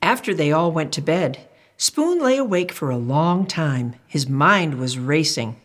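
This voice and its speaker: An older woman tells a picture-book story to children. Her voice sits a little low and moves slowly, with very lively swings of pitch. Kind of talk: expressive picture-book read-aloud.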